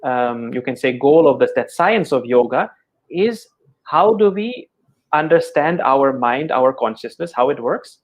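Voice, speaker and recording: A middle-aged Dutch-born man who speaks English with an Indian accent, explaining yoga as an interview guest in a measured, gentle way with many fillers and repeated words. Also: mid-range voice, animated. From Netherlands. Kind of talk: thoughtful conversational explanation.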